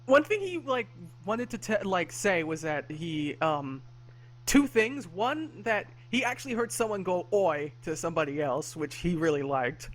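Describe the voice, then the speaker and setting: A man chats on a podcast, pausing often with fillers and correcting himself mid-sentence. His voice sits a little high for a man. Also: animated. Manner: hesitant, many fillers and self-corrections. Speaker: podcast co-host. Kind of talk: casual chat.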